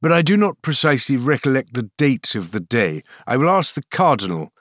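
A man's voice: mid-range voice, very animated, brisk, a bit bright, no room sound, studio quality, pitched above the performer's own voice. Man playing a pompous, imperious king. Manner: pompous, commanding, clipped. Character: cold, imperious king, dignified and cruel.

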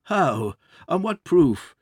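A man's voice: on the high side, sing-song, slow, breathy edge, no room sound, clean studio sound, voice pushed up, heavily acted. A man reading aloud, voicing a panicked middle-aged French father who stammers in alarm. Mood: frantic. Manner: stammering, frantic.

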